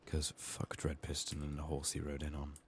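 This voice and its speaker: Very deep, soft-spoken man, often whispering. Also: monotone, moderate pace. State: sick, resting a strained voice. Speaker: video game streamer.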